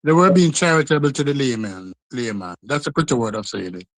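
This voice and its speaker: A man's voice: mid-range voice, animated, brisk, no echo, rough recording. A man in his sixties debating on a podcast, who keeps repeating pointed questions. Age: 60s. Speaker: podcast guest. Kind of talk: heated casual debate.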